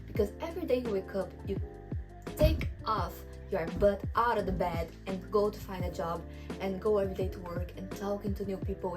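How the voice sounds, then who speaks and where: Earnest young Brazilian woman vlogging. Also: mid-range voice. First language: Portuguese. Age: 20s. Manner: earnest, encouraging, candidly personal. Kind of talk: casual advice vlog to camera. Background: background music.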